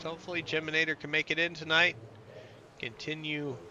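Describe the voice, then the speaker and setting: A man's voice: fairly high pitch, gravelly, background noise. Congested, nasal man calling a sim race. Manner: chatty play-by-play, reading out lap times. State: congested, under the weather. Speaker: sim racing commentator. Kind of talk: live race broadcast commentary.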